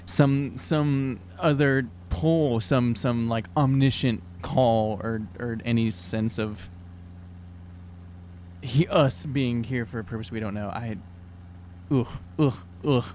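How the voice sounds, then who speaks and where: A man talks in character during a tabletop roleplaying game. He is halting and exasperated, circling back on his words, in a mid-range voice over a slight hum. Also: mid-range voice, steady tone, darkish tone. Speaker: player in an actual-play podcast.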